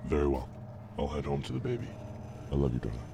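An actor playing an obsessive visionary inventor and devoted husband in an audio drama, with a grave, measured delivery and a gravelly voice over a noisy recording. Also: male voice, a bass voice, very animated, full character voice. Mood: tender. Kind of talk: audio drama acting, partly recorded log narration. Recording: noisy amateur podcast recording.

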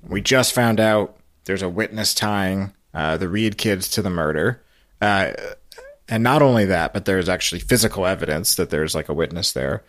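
A man giving a casual, halting, meandering storytelling recap on a podcast. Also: low-pitched, fairly bright tone, dry recording. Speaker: podcast guest recapping a radio show.

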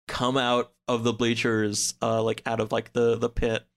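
A man's voice: medium pitch, completely flat delivery, measured pace, creaky, smooth. A man chatting casually as a podcast guest, recounting a story in a loose, rambling way peppered with "like". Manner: rambling, loosely pieced-together telling, peppered with "like".

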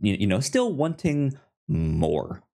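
A man co-hosting a podcast in casual film discussion, halting and often repeating words and syllables as he goes. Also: lowish voice, big pitch swings, creaky, dark tone. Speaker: podcast co-host.

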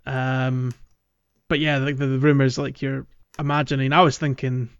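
A man's voice: mid-range voice, level tone, normal speed, clear, resonant tone, no echo, dull audio. A man co-hosting a podcast, chatting casually about a game in a hesitant, meandering way. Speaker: podcast host. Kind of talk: casual game playthrough chat.

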